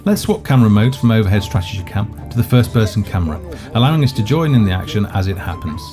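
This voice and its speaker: A man presenting a video game in scripted walkthrough narration, measured and explanatory. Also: fairly low pitch, big pitch swings. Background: background music.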